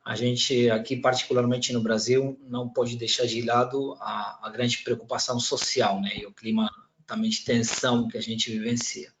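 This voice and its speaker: Argentine man, mid pitch, chatty panel moderator on a video call, echoey room. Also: flat delivery, normal speed, a bit bright, slight background noise. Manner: chatty, loose, many fillers. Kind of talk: hosting a live online panel.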